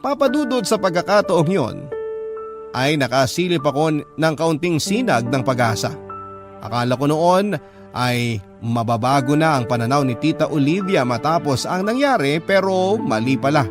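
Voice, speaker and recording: A man's voice: on the high side, lively intonation, moderate pace, over music, close to an everyday voice. An actor playing an earnest, wistful young man who narrates his love story in a scripted, reflective radio drama style. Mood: wistful, resigned.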